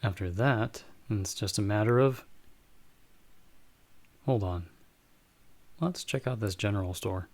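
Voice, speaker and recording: Give this role a fairly low male voice from a man acting it out. He is a watchful bounty hunter who knows magic and monsters. He speaks to his companion in measured, slightly formal explanations, in the style of an ASMR audio roleplay. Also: darkish tone, a little hiss or hum. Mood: calm, watchful.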